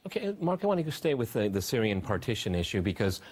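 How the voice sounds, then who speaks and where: A man's voice: fairly low pitch, big pitch swings, fast talker, over music. A man hosting and moderating a panel discussion in an emphatic, argumentative way. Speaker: talk show host and moderator.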